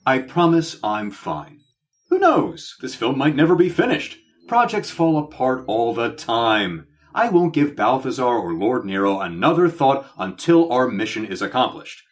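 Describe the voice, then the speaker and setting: A man's voice: mid-range voice, very animated, unhurried. A man playing a vain actor turned agent in an audio comedy, pompous and flowery, putting on serene composure. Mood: composed, grandiose. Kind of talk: scripted audio comedy acting.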